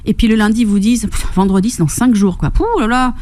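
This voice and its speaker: Middle-aged woman from Corsica, radio guest, mellow warm tone, bubbly and chatty. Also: mid-range voice, fast talker. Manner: enthusiastic, laughs often, animated storytelling with quoted voices. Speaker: fitness and nutrition coach, guest. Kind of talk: radio interview. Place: radio studio.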